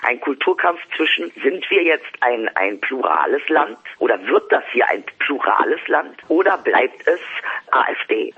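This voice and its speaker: A man talks as an interview guest, with a very husky voice. He is blunt and direct, and his delivery turns polemical. The recording sounds dull and lo-fi. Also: mid-range voice, sing-song, normal speed. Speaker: guest, stage performer. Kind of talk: interview conversation.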